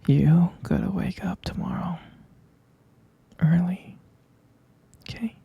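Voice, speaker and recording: A man playing a tender, doting boyfriend in an ASMR roleplay, murmuring slowly and affectionately in a breathy, husky whisper. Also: high-pitched, steady tone, muffled recording, full character voice. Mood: soft, teasing. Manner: slow, hesitant, affectionate murmuring with pet names. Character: tender, doting vampire-romance boyfriend soothing partner to sleep.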